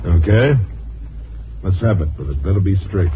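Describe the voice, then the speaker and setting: Deep-voiced, bitter washed-up newspaperman. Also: lively intonation, moderate pace. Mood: brusque, tough. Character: bitter, broken-down ex-reporter, down on his luck. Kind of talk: 1950s radio drama acting. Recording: noisy, muffled 1950s radio recording.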